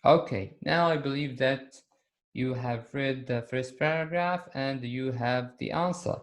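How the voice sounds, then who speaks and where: A man teaching an English lesson on video, speaking slowly and repeating key words for his students. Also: medium pitch.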